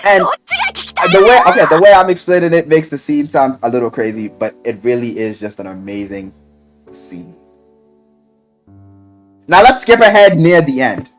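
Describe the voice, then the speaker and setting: A man casually retelling a video game story in an animated, rambling, slangy way, with plenty of swearing. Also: a little high, moderate pace, long pauses, faint music behind. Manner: animated, exaggerated, swearing, acts out quotes in mock voices. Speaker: game reviewer.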